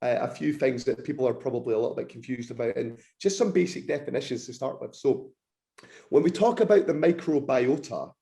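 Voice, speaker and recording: A male researcher in his thirties speaks as a podcast guest, his voice croaky and a little hoarse with a gravelly edge. He explains technical ideas with real enthusiasm. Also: medium pitch, expressive, brisk, dark tone. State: croaky, slightly hoarse throat.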